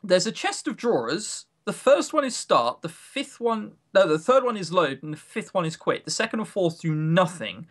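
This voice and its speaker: A British man chats casually as a podcast co-host, his pitch swinging widely as he goes. He rambles through his opinions and pokes fun at himself along the way. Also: sing-song, normal speed, hardly any pauses, fairly bright tone. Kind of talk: casual chat about visual novels.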